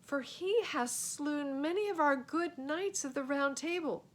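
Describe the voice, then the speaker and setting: A woman's voice: high voice, a little slow, pitched above the performer's own voice. A woman voicing an earnest, courtly male knight in a storybook, speaking formally and archaically. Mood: reverent, earnest.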